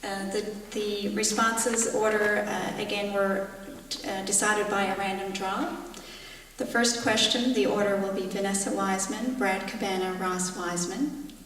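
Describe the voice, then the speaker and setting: A woman moderating a debate, speaking plainly and haltingly with frequent fillers. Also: mid-range voice, fairly even intonation, a bit bright. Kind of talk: hosting a debate. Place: large events hall. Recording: echoey hall, distant mic, faint noise.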